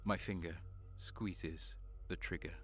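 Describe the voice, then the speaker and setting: Man acting haunted renegade soldier, slow and low. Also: steady tone, a bit bright, a little hiss or hum. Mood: quietly resolved. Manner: dramatic, stammering under stress. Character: haunted, desperate renegade guardsman, defiant and bitter. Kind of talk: audio drama acting with first-person narration. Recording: music behind the voice.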